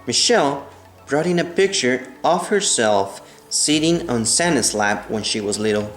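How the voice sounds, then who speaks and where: Male storybook narrator, medium pitch, measured delivery with the odd stumble, echoey room sound. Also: lively intonation. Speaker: read-aloud narrator of a children's book. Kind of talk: storybook read-aloud. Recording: roomy echo, music behind the voice.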